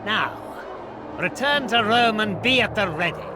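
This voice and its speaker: An actor playing a scheming, vengeful Roman senator in a cartoon game, with a theatrical, gloating delivery. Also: male voice, high-pitched, lively intonation, measured pace, over music. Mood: smug, scheming. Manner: theatrical, gloating, prone to shouting.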